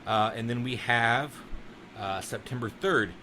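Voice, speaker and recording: A man's voice: mid-range voice, unhurried, noisy background. A man hosting a podcast in a casual, enthusiastic chat, swearing now and then. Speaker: podcast host.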